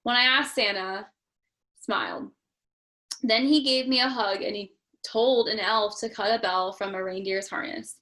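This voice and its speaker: A woman's voice: mid-range voice, fairly even intonation, unhurried, sharp tone. A woman reading a children's picture book aloud expressively, with chatty asides spoken directly to young listeners. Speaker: storytime reader.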